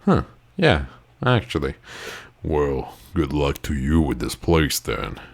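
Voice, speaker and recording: Man, gamer, native Italian speaker, extremely deep voice, lively intonation, playful, casual swearing. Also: takes it slowly, gravelly. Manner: playful, laughs at his own lines, swears casually. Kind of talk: let's play, reading dialogue aloud.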